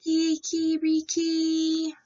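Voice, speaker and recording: A woman's voice: very high voice, completely flat delivery, very slow, dark tone, lo-fi sound, voice pushed up, exaggerated voice. Woman voicing a plucky, stubborn little rooster. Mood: crowing song. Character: plucky stubborn little singing rooster, male, cheerful and brave. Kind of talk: storybook character voice.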